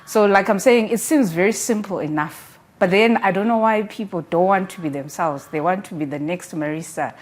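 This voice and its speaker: Brief: lower-pitched woman, book reviewer on a TV talk set, earnest and encouraging, filler-heavy. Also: normal speed, few pauses. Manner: earnest, encouraging, uses many "like" and "you know" fillers. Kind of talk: studio talk show discussion.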